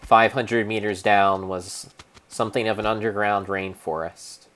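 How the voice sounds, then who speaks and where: A man narrating his own gameplay as a solemn, theatrical dramatic reading, with pauses and small slips. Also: on the low side, steady tone, fairly bright tone. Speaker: game streamer narrating play. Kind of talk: mock-dramatic storybook narration over gameplay.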